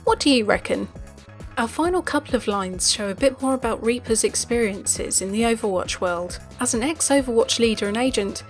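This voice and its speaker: A woman's voice: a little high, expressive, normal speed, soft background music. A woman reading scripted explainer narration as the presenter of a game lore video.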